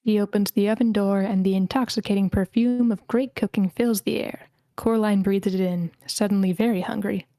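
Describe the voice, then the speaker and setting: A woman's voice: medium pitch, steady tone, brisk, few pauses, warm tone, no echo. Dry-sounding woman reading stage directions. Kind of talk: scripted narration at a screenplay table read.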